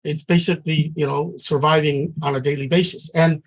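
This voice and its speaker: High-voiced man teaching. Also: level tone, poor audio. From Samoa. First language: Samoan. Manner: earnest, conversational, halting with restarts. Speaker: trading instructor. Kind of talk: teaching a class.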